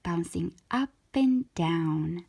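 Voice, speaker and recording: A woman narrating a children's storybook as host, with gentle, deliberate, expressive phrasing. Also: on the low side, creaky, husky.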